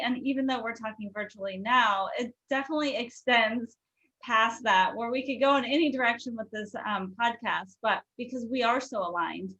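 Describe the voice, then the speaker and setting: Earnest woman podcast host, gushing and quick, fairly high voice, muffled lo-fi sound. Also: fairly bright tone. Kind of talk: casual podcast chat.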